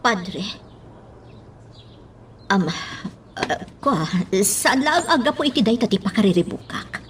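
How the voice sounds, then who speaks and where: A woman's voice: on the high side, very animated, takes it slowly, slight background noise. A woman playing a troubled, earnest mayor in a radio drama, with an Ilocano accent.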